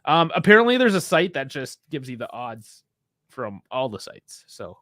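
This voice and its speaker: A man's voice: mid-range voice, sing-song, normal speed, pushed and bright, dry recording, clean studio sound. A man co-hosting a football podcast in a casual chat, teasing his partner, laughing often and making show announcements.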